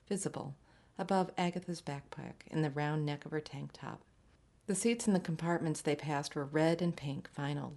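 A woman's voice: deep voice, a little mellow. A woman reading a short story aloud as scripted literary narration.

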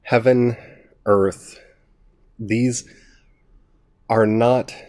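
A man with a cold, his voice gravelly and nasal, teaching a Bible study in a casual spoken way, earnest and thinking aloud. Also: mid-range voice, fairly even intonation, drawn-out words, darkish tone.

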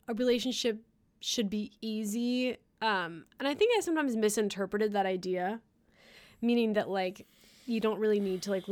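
This is a chatty woman hosting a podcast, talking casually with her guests and laughing often. Her voice sits at a middle pitch, and the recording is dry and close with no room sound. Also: no echo. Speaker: podcast host.

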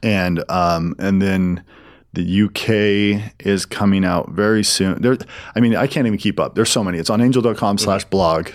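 A man giving explanatory answers as a business guest in an interview, in a halting way with repeated and restarted phrases. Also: low voice. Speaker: interview guest, film studio executive.

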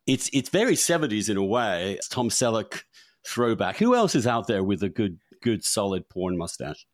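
A middle-aged man hosting a podcast in a chatty, unscripted way, halting and repeating words as he goes. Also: fairly low pitch, big pitch swings, dry recording, studio quality. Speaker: podcast host.

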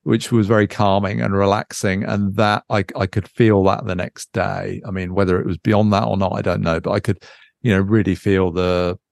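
A man's voice: low voice, flat delivery, normal speed, vocal fry, a little mellow, dry recording, studio quality. A British man chatting casually and unscripted as a podcast co-host, thinking aloud in a hesitant, reflective way.

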